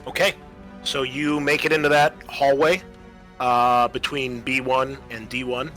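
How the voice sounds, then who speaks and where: A man's voice: medium pitch, little pitch movement, a little slow, fairly bright tone. A man narrating a tabletop roleplaying game as game master, casual and descriptive in his delivery. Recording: music under the voice. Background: background music.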